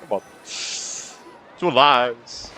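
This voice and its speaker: A man giving casual gameplay commentary, halting and repeating words, with some swearing. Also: medium pitch, animated, noisy background. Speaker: gamer reacting to a story quest.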